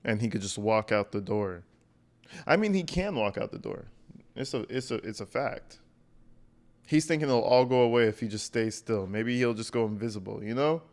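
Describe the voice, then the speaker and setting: A man's voice: fairly low pitch, normal speed. A man hosting a livestream, giving casual, profane reaction commentary in a blunt, mocking, slangy way. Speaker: livestream host reacting to video.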